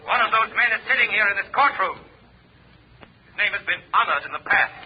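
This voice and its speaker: Mysterious avenger from a 30s radio play, highish voice, ominous and deliberate, old hissy muffled recording. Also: male voice, expressive. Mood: accusing, grave. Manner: deliberate, dramatic, ominous declarations. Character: mysterious vigilante man, commanding, menacing and accusing. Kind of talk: 1930s radio acting.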